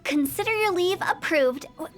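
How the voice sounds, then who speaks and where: Playful creepy teen girl game character, really high voice, mischievous, bit of music behind. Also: animated, normal speed. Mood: breezy. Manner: teasing, mischievous. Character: playful, morbid teenage girl funeral parlor director. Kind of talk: video game voice acting.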